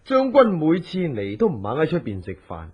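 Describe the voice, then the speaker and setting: A husky, suspicious chief guard. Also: male voice, a little high, huge pitch swings, normal speed, exaggerated voice. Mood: bossy, satisfied. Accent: Hong Kong Cantonese. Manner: commanding, questioning. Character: gruff, suspicious male chief guard, bossy. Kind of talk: Cantonese radio drama acting. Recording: dull lo-fi old radio recording.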